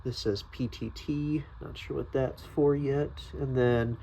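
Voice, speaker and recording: Hesitant man with a creaky voice, slow-paced. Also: mid-range voice, fairly even intonation, warm tone, a little hiss or hum. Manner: hesitant, thinking aloud, tentative. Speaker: product reviewer. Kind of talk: casual unscripted product review.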